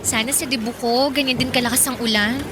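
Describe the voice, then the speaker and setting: A woman's voice: on the high side, steady tone, fast talker, heavy background noise, natural voice. A woman playing an earnest, stubborn, dreamy seventeen-year-old girl in a Filipino radio drama, chatty and insistent. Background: music.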